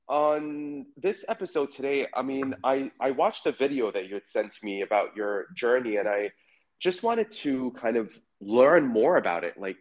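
A man hosting a podcast, his voice hoarse and raspy from the flu, a bit halting as he asks questions. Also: medium pitch. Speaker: podcast host.

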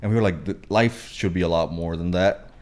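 A young man in his twenties from Pakistan, with a deep, low-set voice. He chats casually as a guest, wandering through his points with lots of fillers and restarts. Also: brisk, a little mellow. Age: 20s. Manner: rambling, many fillers and restarts. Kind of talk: casual podcast chat.

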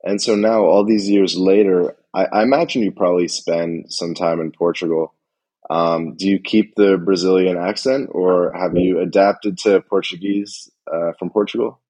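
A man hosting a casual podcast interview, thinking aloud in a measured way as he frames his questions. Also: low-pitched, steady tone, a little mellow, husky, rough recording.

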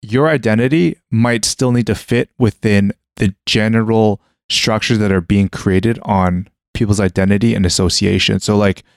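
Low-voiced man thinking aloud with restarts. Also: moderate pace, vocal fry, few pauses, no room sound, clean studio sound. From Western world (Canada). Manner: hesitant, many restarts and "like" fillers, thinking aloud. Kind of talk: casual conversation.

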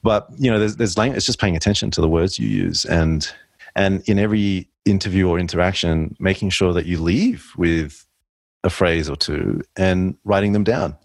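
A man with a deep voice hosting a podcast interview, talking thoughtfully and rambling with frequent restarts. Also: moderate pace, creaky, no room sound. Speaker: podcast host. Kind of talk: casual interview conversation.

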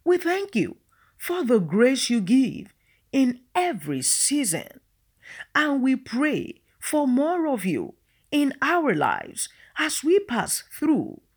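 A woman whose first language is Yoruba leads listeners through a devotional message and prayer. She speaks slowly and earnestly and greets her audience as friends. Her voice is breathy and fairly high, with a lively rise and fall. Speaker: devotional host.

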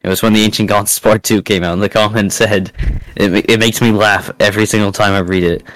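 A man's voice: on the low side, little pitch movement, quick pace, fairly bright tone, low-quality recording. A young man chatting casually as a podcast guest, in a self-deprecating way. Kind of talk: casual chat.

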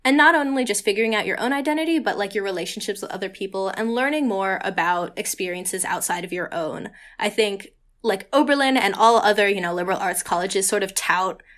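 A young college woman talks through her thoughts in an easygoing, filler-heavy way, her pitch sitting right in the middle of the range. She co-hosts a student podcast and speaks as if chatting with a friend. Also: a little fast, a bit bright. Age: young adult. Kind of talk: casual conversation.

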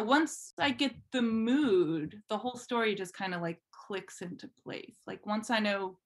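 A woman talks as a guest in a relaxed interview. She is chatty and informal, with a self-deprecating way of explaining herself. Her pitch sits in an ordinary middle range for a woman. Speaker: podcast guest, fan fiction writer. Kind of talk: casual conversational interview.

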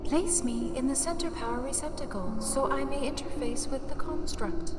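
Woman playing a high-voiced alien being, cavernous reverb. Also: lightly acted. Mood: solemn, measured. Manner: formal, measured, stately. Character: calm alien being, solemn female telepathic voice. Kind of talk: audio drama acting. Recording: long hall-like reverb over loud music.

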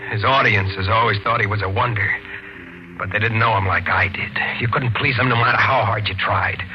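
A man's voice: fairly low pitch, steady tone, normal speed, a little hiss or hum, close to an everyday voice. An actor playing a desperate, bitter man under suspicion, insistent and overwrought, in 1940s radio style. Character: desperate, bitter male murder suspect, unhinged assistant. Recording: dull old radio recording, music behind.

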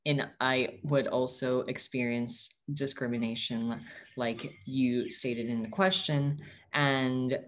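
A man's voice: mid-range voice, fairly even intonation, slow pace, a bit bright. A young man whose first language is Tagalog speaks in an earnest, reflective way as an interviewee. His voice sits in an ordinary middle range, and he often restarts sentences as he searches for the right words. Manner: earnest and reflective, with frequent self-corrections. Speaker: interviewee, activist. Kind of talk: answering interview questions.

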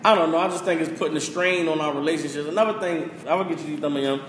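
A man's voice: on the high side, fairly even intonation, quick pace. A man who rants passionately as a podcast host, preachy and full of profanity. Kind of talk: casual opinion rant. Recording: echoey room with faint hiss.

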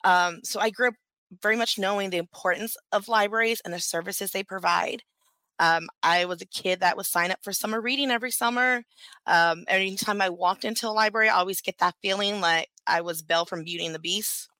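A woman's voice: mid-range voice, fairly even intonation, a little fast, bright, forceful tone, no echo. A woman who works at a public library, chatting as an interview guest with frequent fillers. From Houston area, Texas. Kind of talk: casual interview answers.

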